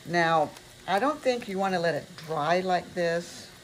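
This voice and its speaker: A woman's voice: on the low side, lively intonation, a little slow. An older woman chatting casually and rambling a little as she talks through a craft demonstration at home in her kitchen. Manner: rambling, chatty, self-correcting. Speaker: craft tutorial host. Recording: clearly noisy home recording with clicks.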